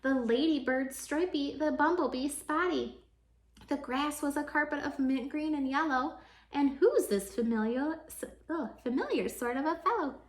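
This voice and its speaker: High-voiced woman reading a children's story. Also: normal speed. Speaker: teacher reading aloud to children. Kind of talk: expressive children's storybook narration in rhyme. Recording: echoey, roomy sound.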